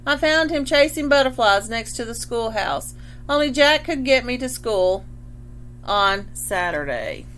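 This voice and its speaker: A woman's voice: on the high side, expressive, unhurried, a bit bright. A woman voicing a fond old grandfather reminiscing in a storybook read-aloud, with a noisy hum.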